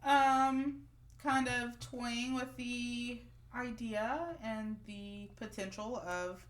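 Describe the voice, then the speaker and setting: A woman co-hosting a book podcast who is congested with a head cold, talking in a drawn-out way with frequent ums, and reading a book blurb. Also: mid-range voice. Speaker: podcast co-host.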